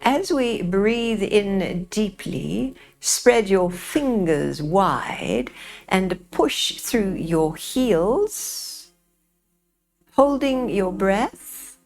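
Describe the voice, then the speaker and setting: A woman teaching yoga nidra, guiding a relaxation in a soothing, gentle way with unhurried pauses. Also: medium pitch, lively intonation. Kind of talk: guided relaxation, meditation instruction.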